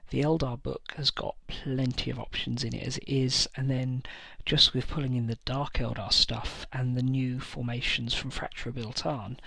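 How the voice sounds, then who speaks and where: A man hosting his own tabletop wargaming channel, talking casually through army lists with frequent pauses and filler words. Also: medium pitch, little pitch movement, vocal fry, bright, forceful tone, dry recording. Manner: hesitant, many fillers and pauses. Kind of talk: casual list-building talk to camera.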